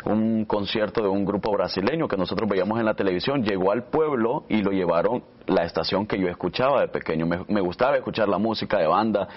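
A man's voice: fairly low pitch, muffled recording. A thirty-year-old man with a Salvadoran accent, talking conversationally as an interview guest and telling personal stories. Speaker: radio announcer and interview guest.

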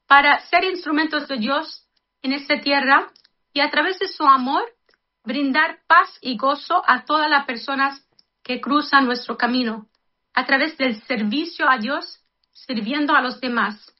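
A high-voiced woman in her fifties speaks earnestly to her listeners, mixing a reflection on life with a heartfelt prayer. The sound is dull and lo-fi, like a radio broadcast. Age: 50s. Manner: earnest, addresses listeners as brothers and sisters.

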